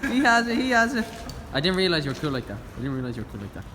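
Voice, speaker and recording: High-pitched, fast-talking man. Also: wildly swooping pitch. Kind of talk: casual location-scouting chat. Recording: loud outdoor traffic noise.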